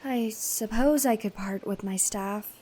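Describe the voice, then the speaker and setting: A woman's voice: medium pitch, a little slow, warm tone, husky. A woman playing a practical, resolute female cleric in a fantasy audio drama. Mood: resigned.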